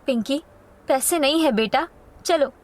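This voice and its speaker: A woman's voice: sits high, measured pace. A woman playing an anxious, caring member of a poor homeless family, with plaintive, melodramatic moral-story delivery. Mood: worried, reproachful.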